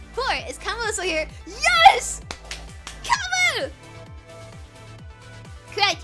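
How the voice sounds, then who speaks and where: A woman streamer with a high, bouncy voice reads story dialogue aloud, rough and hoarse from a cold. She gets excited, stumbles over words, and gushes as she goes. Game music plays clearly underneath her.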